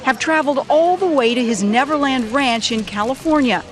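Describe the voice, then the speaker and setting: A woman news correspondent narrating a scripted report in a crisp reporter delivery. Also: high-pitched, expressive, moderate pace.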